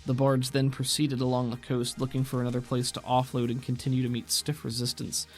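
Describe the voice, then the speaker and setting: A man's voice: mid-range voice, completely flat delivery, brisk, faint music behind. A man talking casually as a podcast co-host and game master.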